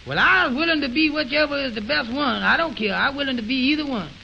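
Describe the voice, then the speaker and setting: A man playing a naive, slow-witted, questioning fellow in a 1920s radio comedy, with puzzled, halting, folksy ungrammatical talk on an old recording. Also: very high voice, lively intonation, creaky, sharp tone, dull audio, slight background noise, exaggerated voice. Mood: curious.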